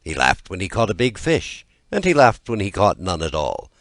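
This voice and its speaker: A man reading a scripted fairy tale as an audiobook narrator, in a measured and expressive storytelling manner. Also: sits low, no echo, studio quality.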